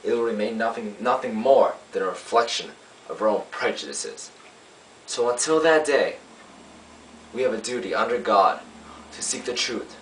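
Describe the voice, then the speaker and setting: A man playing a young, earnest defense lawyer, pleading to a jury in an emphatic, oratorical monologue with deliberate pauses. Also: fairly low pitch, expressive, fairly bright tone. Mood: impassioned. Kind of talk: dramatic courtroom monologue. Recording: roomy, distant mic, faint hiss.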